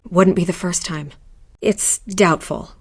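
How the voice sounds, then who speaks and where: A woman's voice: on the low side, fairly even intonation, takes it slowly, breathy edge. A woman playing a probing, self-assured newspaper reporter in a video game, speaking in a cool, pointed way. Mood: cool, skeptical.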